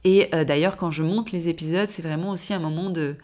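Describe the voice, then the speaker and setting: A woman's voice: on the low side, fairly even intonation, brisk, a little mellow. Woman podcast host with a cold. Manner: rambling, many fillers and self-corrections. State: has a cold. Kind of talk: casual solo podcast talk.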